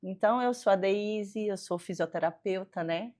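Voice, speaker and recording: A middle-aged woman from Northeast Brazil with a strong Northeastern Brazilian accent, speaking earnestly as a physiotherapist guest in a faith-based interview, often pausing on "né". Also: mid-range voice, darkish tone. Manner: earnest, pauses with frequent "né".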